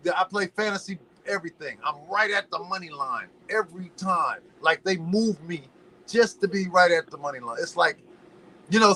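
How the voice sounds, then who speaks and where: A man from Los Angeles chatting casually as a podcast guest, an animated, slangy storyteller who drifts into preachy musings. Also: high voice, poor audio. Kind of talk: casual chat.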